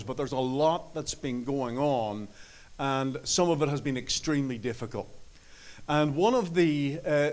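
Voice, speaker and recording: A middle-aged man with an Irish accent, preaching a sermon in an earnest, halting way with many fillers. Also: a little high. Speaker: pastor. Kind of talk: sermon with scripture reading.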